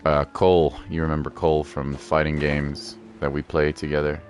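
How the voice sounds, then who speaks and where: A man's voice: very deep voice, completely flat delivery, moderate pace, faint music behind. A man streaming a game with casual, laid-back commentary, musing aloud as he plays.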